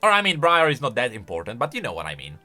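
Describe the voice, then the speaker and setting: A man gives off-the-cuff game commentary in a piercingly bright, fairly high voice. He is excitable and emphatic, with lively swings in intonation. Music plays faintly behind him. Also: fast. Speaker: host, game lore commentator.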